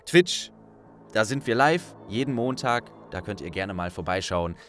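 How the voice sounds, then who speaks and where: A man's voice: on the low side, animated, unhurried, a bit bright, soft background music. A man hosting an audiobook project, speaking casually and directly to his listeners. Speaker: audiobook narrator and host. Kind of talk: casual thank-you address to listeners.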